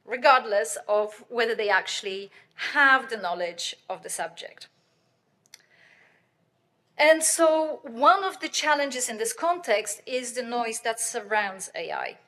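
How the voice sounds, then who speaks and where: A middle-aged woman giving a conference keynote lecture, loud and earnest, with fillers and light laughs. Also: on the high side. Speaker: keynote speaker, professor.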